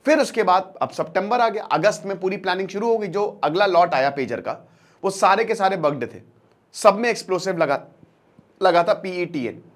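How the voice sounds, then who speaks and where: A man's voice: high voice, animated, moderate pace. A man giving an informal current-affairs lecture as a storyteller, talking directly to listeners in colloquial language and repeating phrases for emphasis. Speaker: current affairs educator and channel presenter. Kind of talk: informal explainer lecture, storytelling with acted-out dialogue.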